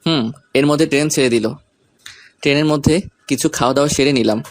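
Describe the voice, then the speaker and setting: A man's voice: a little high, fast talker, dry recording. A man reading a scripted first-person story, narrating events and speaking the lead character's lines.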